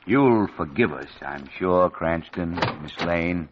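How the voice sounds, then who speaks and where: An actor playing a stuffy, impatient police commissioner with gruff bluster in a 1940s radio drama. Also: male voice, sits low, lively intonation, slow pace. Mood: brisk. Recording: muffled old radio recording with noise.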